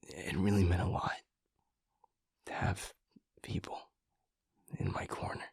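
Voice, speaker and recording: A man playing an earnest, vulnerable young man in an intimate audio drama, speaking haltingly in a husky, breathy murmur. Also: low voice, no echo, in a whisper, studio quality, heavily acted. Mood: tender, sincere. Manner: halting, hesitant, stammering. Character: earnest, vulnerable young man, loyal pack second-in-command.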